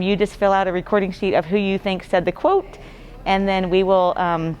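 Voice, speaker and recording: A woman, the school librarian, describing a library contest in an interview in a relaxed, casual way. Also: mid-range voice.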